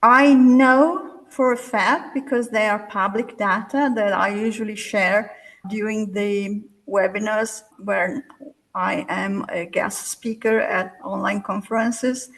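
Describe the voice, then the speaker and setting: Woman, fifties, unhurried measured speech, pauses to think, interview guest. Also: medium pitch, fairly even intonation, a bit bright, poor audio. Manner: slow, measured, with pauses and restarts. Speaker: literary translator guest. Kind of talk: interview answers.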